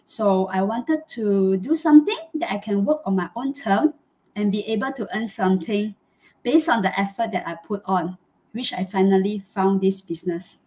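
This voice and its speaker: A woman's voice: medium pitch, moderate pace, mellow. A middle-aged woman from Malaysia with a Malaysian accent, hosting a live chat interview in an effusive, chatty way over a video call. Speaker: host. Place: small home room, indoors.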